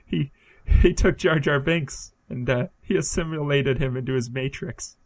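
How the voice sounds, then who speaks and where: Male podcast co-host, mid pitch, deadpan delivery of absurd jokes. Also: moderate pace, rough recording. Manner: deadpan, spins absurd tall tales. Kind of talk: casual comedic banter.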